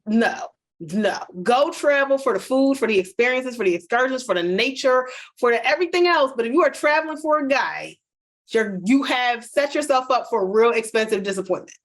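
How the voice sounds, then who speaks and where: Animated woman podcast host. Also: a little high, a little fast. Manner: animated, addresses listeners directly, emphatic. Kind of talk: casual chat, storytelling.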